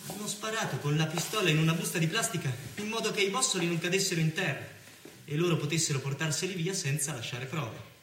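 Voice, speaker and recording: An actor playing an earnest investigator in a theatrical crime drama, questioning and deliberate. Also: male voice, fairly high pitch, close to an everyday voice. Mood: intent, puzzled. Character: earnest investigator puzzling over murder clues. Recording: echoey room, distant mic, faint noise.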